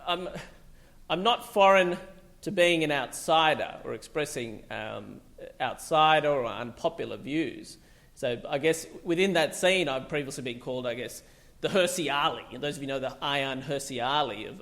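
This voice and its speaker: A man's voice: on the high side, gravelly, fairly bright tone. A middle-aged man from Bangladesh, a psychiatrist, giving a loose, conversational public talk full of fillers and restarts.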